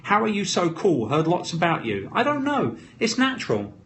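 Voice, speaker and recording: A man's voice: sits high, wildly swooping pitch, normal speed, fairly bright tone. A man with a London British accent live-streaming off the cuff, talking emphatically in short, punchy, motivational bursts. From London, England. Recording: noisy live phone stream.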